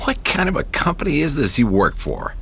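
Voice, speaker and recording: An actor in a radio drama playing a wary, sardonic jazz pianist who talks in a curt, gravelly voice. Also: male voice, on the high side, wildly swooping pitch, a little fast, fairly bright tone, full character voice. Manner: curt, sarcastic, suspicious.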